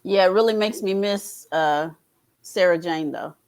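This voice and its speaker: A woman speaks as a panelist in a casual fan conversation. Her voice is a little lower than average for a woman. The delivery is informal and chatty. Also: measured pace, a little mellow.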